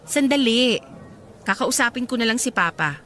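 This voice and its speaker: A pushy, scheming stepsister in a Filipino radio drama. She wheedles insistently in a fairly high, animated voice, with faint music behind her. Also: moderate pace.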